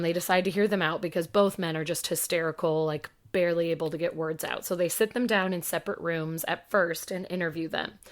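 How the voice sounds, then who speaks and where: A woman in her thirties co-hosting a podcast, telling a story in a casual, animated way. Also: on the low side. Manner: animated, swears, acts out quotes. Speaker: podcast co-host.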